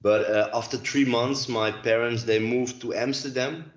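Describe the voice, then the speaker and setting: A man's voice: medium pitch, steady tone, measured pace, roomy sound, lo-fi sound. A Dutch man in his forties, speaking English with a Dutch accent as a guest in a casual interview, hesitant and full of fillers. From Netherlands. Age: 40s. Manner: hesitant, many fillers and restarts. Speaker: guest, dancer and choreographer. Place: at home.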